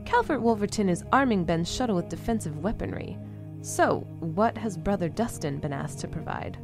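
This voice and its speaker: A wry, sardonic female android voiced by a woman hosts an audio-drama broadcast in a low voice. She speaks formally and articulately, with clear music playing underneath. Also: lively intonation, normal speed. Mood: dry, questioning. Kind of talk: audio drama, talk-show hosting.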